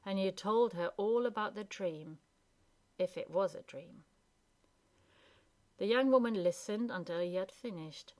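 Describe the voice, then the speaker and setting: A woman narrating a children's story as a scripted, expressive reading. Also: mid-range voice, unhurried, long pauses. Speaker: storybook reader.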